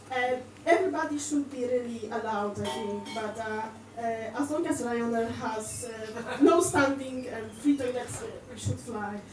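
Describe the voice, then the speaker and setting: High-voiced Polish woman doing stand-up. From Poland. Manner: chatty and halting, with many fillers, restarts and giggles. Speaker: stand-up comedian in character as a cleaning lady. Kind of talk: stand-up comedy set. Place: small indoor comedy club stage. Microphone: handheld mic, heard from a distant camera. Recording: roomy club sound from far off with audience noise.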